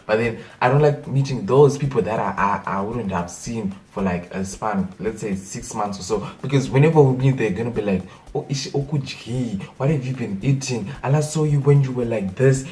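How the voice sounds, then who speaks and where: A young Zimbabwean man with a Zimbabwean accent, a native Shona speaker, talking in a casual comedic vlog rant, animated and exasperated, repeating his points. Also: lowish voice, distant mic. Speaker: vlogger.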